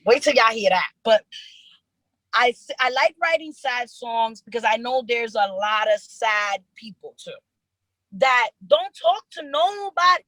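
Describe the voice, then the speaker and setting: A woman's voice: fairly high pitch, unhurried, no echo, rough recording. A young woman from New York, a rapper, chatting in an interview and telling stories earnestly with lots of restarts. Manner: earnest, animated storytelling with repeated restarts. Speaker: rapper guest. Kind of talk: casual interview chat.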